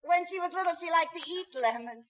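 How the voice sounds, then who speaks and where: High female voice, fluttery hospitable mother, chatty, middle-aged, muffled old radio drama. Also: fast. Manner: chatty, fussily polite. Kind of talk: 1950s radio drama acting.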